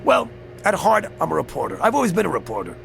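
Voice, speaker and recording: A creaky old devil in newspaperman's guise for a radio play. The voice is rather high and swoops with theatrical relish. He is courtly, teasing and very pleased with himself, with music behind him. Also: wildly swooping pitch, fast, fairly bright tone, heavily acted. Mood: boastful. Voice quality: creaky, gravelly. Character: sly, boastful devil posing as a genial old newspaperman. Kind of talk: radio drama acting.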